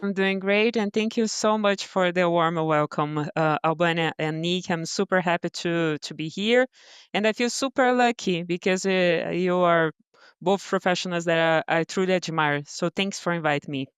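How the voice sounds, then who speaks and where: Brazilian woman guest, smooth low voice, dry close sound, halting English. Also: fairly even intonation, no echo. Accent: Brazilian Portuguese. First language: Portuguese. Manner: hesitant, many fillers and restarts. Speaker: guest, brand partnerships director. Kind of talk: podcast interview answers.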